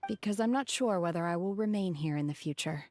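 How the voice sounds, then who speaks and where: An actress playing an aloof, blunt young woman from the mountains in a video game, speaking calmly and formally. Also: fairly low pitch, brisk, a little hiss or hum, lightly acted. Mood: reflective. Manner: calm, formal, blunt, sighing. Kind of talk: anime-style video game voice acting.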